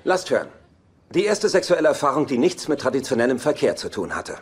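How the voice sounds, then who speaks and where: A man's voice: mid-range voice, sing-song, brisk, husky. A male actor dubbing a wry, laid-back, teasing sitcom man with a dry delivery. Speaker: sitcom actor in German dub. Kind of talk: dubbed sitcom dialogue.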